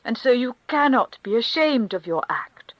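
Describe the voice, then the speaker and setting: A woman's voice: fairly high pitch, sing-song, moderate pace, dry recording, muffled recording, pitched above the performer's own voice. A woman reading a devout countess who consoles in a fervent, preaching manner. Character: devout rapturous aristocratic countess. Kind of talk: audiobook character reading.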